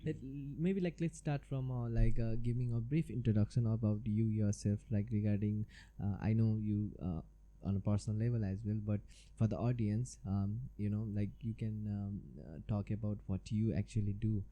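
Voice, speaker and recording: Low dark-voiced male podcast host. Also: steady tone. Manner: halting, many fillers while asking. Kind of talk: casual interview questions.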